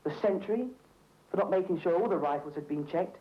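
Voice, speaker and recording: An actor playing a tired, frank soldier arguing about blame in a 1970s army training film. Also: male voice, high voice, fairly quick, lightly acted. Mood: reflective, reproachful. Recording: echoey, muffled old film soundtrack.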